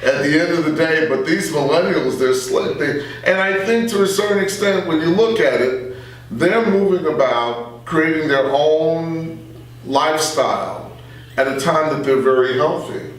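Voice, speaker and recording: A man's voice: on the high side, unhurried. A man in his sixties chatting casually and jokily as a panelist on a radio talk show. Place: echoey indoor room. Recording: very echoey room, distant mic.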